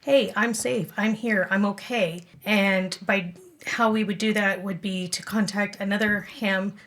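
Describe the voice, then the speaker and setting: Woman guest explaining, medium pitch. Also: fairly even intonation, measured pace, a bit bright. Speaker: podcast guest, amateur radio club event director. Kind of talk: conversational explaining in an interview.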